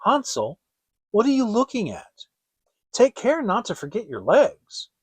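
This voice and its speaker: A man playing a poor, soft-hearted, troubled woodcutter father in a storybook reading, with a pleading manner. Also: high voice, huge pitch swings, a little slow, husky, no echo, pitched above the performer's own voice, heavily acted. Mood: mild reproof.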